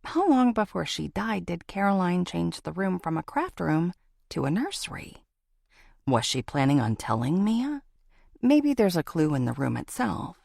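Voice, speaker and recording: A woman's voice: low-pitched, creaky, darkish tone, no room sound, clean studio sound, exaggerated voice. A woman playing a determined, earnest woman searching for answers, narrated in the first person for a thriller audiobook.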